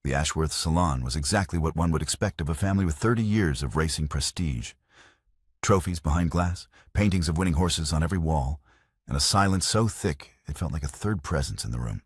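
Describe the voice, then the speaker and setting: A man's voice: rumbling low, lively intonation, fairly quick, slightly rough, dry recording, clean studio sound. A man reading a scripted romance story as an audiobook narrator.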